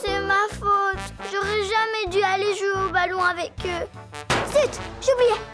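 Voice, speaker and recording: An actor voicing a cheerful, scatterbrained little girl in an animated children's cartoon, excitable and full of exclamations. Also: very high voice, measured pace. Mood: sorry. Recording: music behind the voice.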